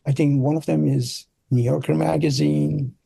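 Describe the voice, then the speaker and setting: Middle aged turkish guy w accent, super gravelly, slow halting casual chat, dry sound. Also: fairly high pitch, fairly even intonation, warm tone, no room sound. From Turkey. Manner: halting, searching for words. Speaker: interview guest, product designer. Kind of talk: casual conversational storytelling.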